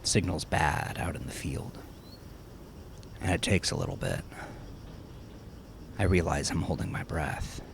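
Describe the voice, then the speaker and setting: A man's voice: a bass voice, sing-song, measured pace, creaky, long pauses, noisy background. A man narrating a first-person story expressively, acting out the storyteller's reactions as he goes. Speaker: author narrating own horror story.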